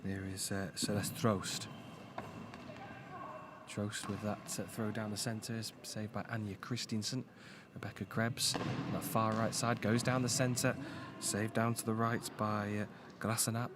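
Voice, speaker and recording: A man doing live play-by-play commentary on a goalball match, calling the action in quick bursts. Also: lowish voice, flat delivery, noisy background. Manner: calls the action in quick bursts, rising on big moments.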